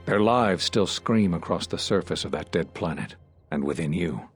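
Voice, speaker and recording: An actor playing a solemn, regretful Jedi master in a video game, speaking in a measured, sombre and earnest way. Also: male voice, deep voice, lively intonation, husky, faint music behind. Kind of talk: dramatic video game dialogue.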